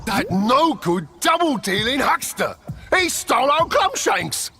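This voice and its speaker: Bright, high, feisty cartoon sidekick. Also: male voice, sing-song, measured pace, full character voice. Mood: indignant. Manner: earnest, colourful slang. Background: faint music.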